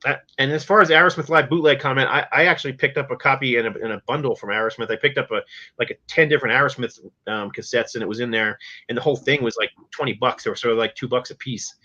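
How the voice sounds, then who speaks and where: Male podcast host, middle-aged, mid pitch with a sharp bright edge, plainspoken opinions, lo-fi audio. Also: steady tone, fast talker. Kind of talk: casual music chat.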